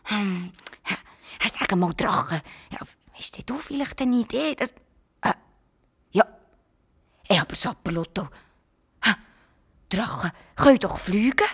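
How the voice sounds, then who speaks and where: A woman with a Swiss German accent voicing an eager, cheeky little creature that talks in loud, bossy exclamations. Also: mid-range voice, expressive, slow, long pauses, husky, heavily acted. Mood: indignant. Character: small eager excitable creature, bold and cheeky. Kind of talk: children's storytelling.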